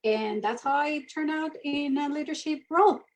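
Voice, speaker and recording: A woman's voice: high voice, moderate pace, a little hiss or hum. A woman from Venezuela in her thirties, speaking earnestly as an interview guest in a casual chat. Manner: earnest, animated storytelling. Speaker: guest, mission ambassador.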